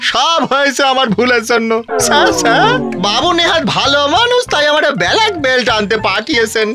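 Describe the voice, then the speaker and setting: A simple-minded young servant lad in a cartoon, eager to please and rustic. The voice is high and lively, bouncing around in pitch. Loud music runs underneath. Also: female voice, a little fast. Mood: devoted, earnest. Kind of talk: animated cartoon comedy acting. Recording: studio voice over loud music.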